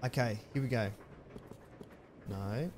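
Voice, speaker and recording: This is a laid-back Australian man in his thirties, chatting to viewers on a headset mic during a live game stream. His pitch sits in the middle of the range, and his easy chatter now and then jumps into loud shouts. A faint hum runs behind him. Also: slow pace. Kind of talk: casual live-stream chat while gaming.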